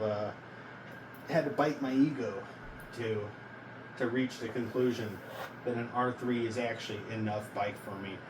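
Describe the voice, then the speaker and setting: A man in his early twenties talking casually in a self-reflective vlog, rambling and halting, often questioning himself as he goes. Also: mid-range voice. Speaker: vlogger. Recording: echoey, noisy, distant from mic.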